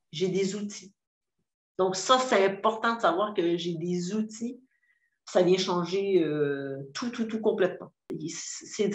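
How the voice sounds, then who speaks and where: A woman in her fifties with a Québécois accent, giving a candid, earnest testimony with many hesitations and restarts. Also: mid-range voice. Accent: Québécois French. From Quebec. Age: 50s. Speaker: program client giving a testimonial. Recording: roomy echo, dull lo-fi sound.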